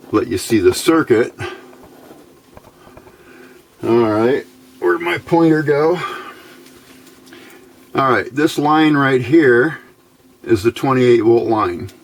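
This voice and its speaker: Male hobbyist demonstrator, low voice, very unhurried delivery, folksy and methodical, informal technical walkthrough. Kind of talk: informal hands-on technical explanation.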